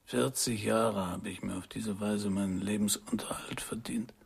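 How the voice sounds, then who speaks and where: An actor playing a weary, resigned old jazz musician in a radio drama, with a raspy, breathy half-whisper. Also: male voice, on the low side, moderate pace, full character voice. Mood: weary, musing. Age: older. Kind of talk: German radio drama acting.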